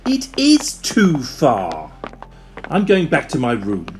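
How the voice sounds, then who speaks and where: A woman's voice: low voice, huge pitch swings, slow pace, slight background noise, heavily acted. A woman playing a grumpy, blunt, impatient husband in an audio drama, curt and complaining. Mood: petulant.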